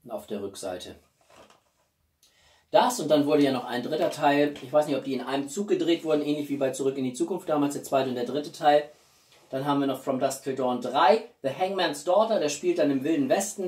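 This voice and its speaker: A man in his forties chatting casually to viewers as a video host, with an expressive, emphatic delivery and dramatic pauses. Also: on the high side. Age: 40s. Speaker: YouTube host. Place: small living room indoors. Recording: roomy echo, distant mic.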